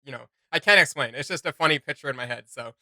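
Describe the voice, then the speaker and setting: A man co-hosting a reaction video, chatting casually in an animated, rambling way. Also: medium pitch, fast, no echo, studio quality.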